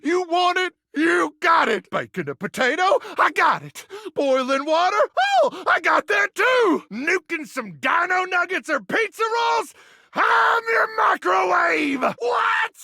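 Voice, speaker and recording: An actor voicing a brash, hot-headed American video game character, a folksy microwave turned rambling soldier, full of bluster and slang. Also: male voice, very high voice, big pitch swings, measured pace, gravelly, sharp tone, dry recording, shouting, exaggerated voice. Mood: heated, boastful.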